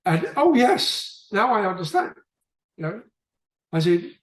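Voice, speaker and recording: A man's voice: high-pitched, big pitch swings, unhurried, reverberant. A man from the UK with a British accent, chatting casually in a book club discussion, rambling and often restarting his sentences. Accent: British English.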